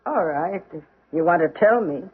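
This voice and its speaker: A woman's voice: low-pitched, normal speed, husky, exaggerated voice. An actress playing a stubborn, complaining elderly mother with an aching back in a 1970s radio drama. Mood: grudging. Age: older. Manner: grudging, complaining, flat dismissive replies. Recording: muffled, phone-like old radio broadcast.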